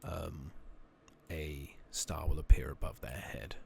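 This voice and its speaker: Soft-spoken man, deep and very creaky. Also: fairly even intonation, slow pace, slight background noise. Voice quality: soft, partly whispered voice. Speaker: game streamer, ASMR host. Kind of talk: soft-spoken ASMR game commentary. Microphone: makeshift new close microphone.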